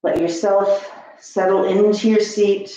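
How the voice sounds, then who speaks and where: A woman leads a yoga class in a mellow, soft-edged voice. She speaks slowly, giving gentle, soothing cues and leaving long pauses between them. The sound is very echoey, as in a reverberant room. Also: mid-range voice, fairly even intonation, muffled recording. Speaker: yoga teacher. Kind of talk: guided yoga and meditation instruction.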